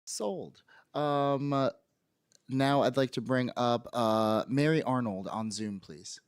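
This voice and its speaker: A man chairing a public council hearing, who calls on speakers in a halting way with many filler words. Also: mid-range voice, clean tone, no room sound, clean studio sound. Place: city council hearing room.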